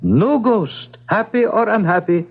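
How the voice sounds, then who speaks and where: An actor playing a solemn, self-assured spirit medium in a radio mystery, with portentous, deliberate phrasing. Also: male voice, high-pitched, creaky, exaggerated voice. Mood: assured, insistent. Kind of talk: old radio mystery drama acting. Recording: muffled, tinny old radio broadcast.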